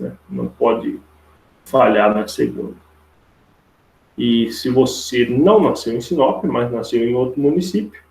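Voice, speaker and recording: A Brazilian man with a creaky voice teaching a live online logic lesson step by step, informally, repeating himself and checking for agreement with tag questions. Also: mid-range voice, a little mellow, slight background noise. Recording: roomy, echoey online class audio.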